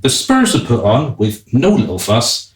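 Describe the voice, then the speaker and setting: A man's voice: mid-range voice, wildly swooping pitch, a little slow. A man playing a wry royal groom who narrates a comic radio play in a theatrical style, with an echoing sound. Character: wry male royal groom telling the tale.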